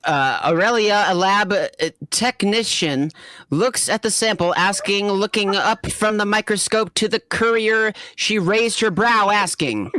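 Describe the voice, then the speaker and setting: A woman hosts and narrates a comic fanfiction reading at a slow pace. She trips on written words and restarts them. The sound is close and dry. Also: mid-range voice, fairly bright tone, dry recording. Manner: stumbles over written words and corrects self. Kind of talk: comedic live story reading, chatty.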